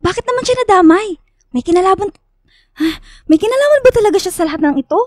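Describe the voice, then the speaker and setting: A very high, dry-recorded voice of a young woman in a radio drama. She is a nervous, loyal friend, full of anxious questions and frightened pleading. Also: lively intonation, fast, no room sound.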